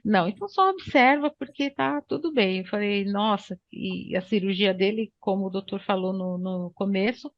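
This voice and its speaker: A woman's voice: medium pitch, moderate pace, few pauses, dry recording. A woman, a mother telling a personal story casually and acting out the dialogue as she goes. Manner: lively storyteller who acts out dialogue. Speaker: mother of a child patient, guest. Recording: dull, dry, slightly lo-fi sound.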